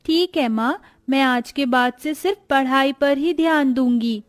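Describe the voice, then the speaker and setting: High-pitched meek schoolgirl voiced by a woman. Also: a little slow. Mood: earnest. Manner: earnest, meek. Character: dutiful, sensitive young schoolgirl. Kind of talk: Hindi cartoon moral story.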